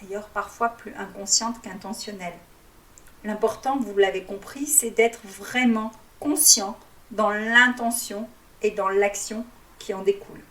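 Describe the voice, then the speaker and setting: A woman whose first language is Arabic, speaking as a life coach and guiding listeners through a reflection in a calm, teaching way with frequent hesitations. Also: mid-range voice, a little slow, reverberant, recorded from a distance. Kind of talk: guided reflection talk.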